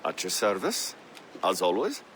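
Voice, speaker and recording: A man's voice: sits low, huge pitch swings, unhurried, a bit bright, slight background noise, full character voice. A man playing a courteous, deferential naval captain and explorer in a video game cutscene.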